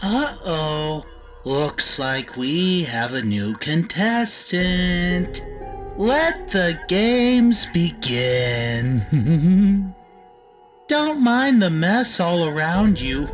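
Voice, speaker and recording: A woman's voice: lowish voice, very animated, soft background music, exaggerated voice. A woman voicing a gleeful, sadistic man-eating cartoon cat who toys with prey in a playful, taunting theatrical monologue. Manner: playful, taunting, drawn-out menace with sudden swoops. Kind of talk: theatrical villain monologue, character voice lines.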